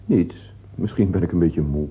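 An actor the playing a weary, cynical chancellor in a radio drama, answering his king with measured, ironic politeness. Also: deep voice, breathy edge. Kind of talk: theatrical radio drama acting. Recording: dull, lo-fi old radio recording.

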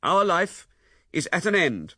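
A man voicing an indignant young husband who speaks in a forceful, accusing, raised voice. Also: mid-range voice, sing-song, normal speed, gravelly, breathy edge, dry recording, studio quality, voice pushed up, heavily acted. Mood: furious. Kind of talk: audiobook character voicing.

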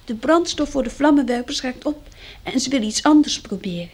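A high, girlish voice plays an eleven-year-old farm girl in a radio drama. She is earnest and easily anxious, stumbling a little when worried. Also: lively intonation, a little fast, a little mellow, breathy edge. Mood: proud, careful.

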